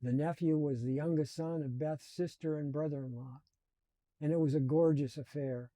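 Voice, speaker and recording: A man in his seventies telling his life story in a slow, reflective and earnest way. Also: a little high, fairly even intonation, dark tone, dry recording. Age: 70s. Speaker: channel host. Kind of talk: personal storytelling.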